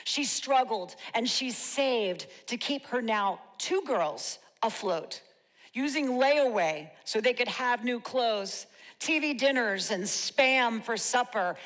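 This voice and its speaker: A woman in her fifties performing a rehearsed, rhyming spoken-word monologue with dramatic, deliberate pauses. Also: a little high, expressive, fairly bright tone. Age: 50s. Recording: long hall reverb.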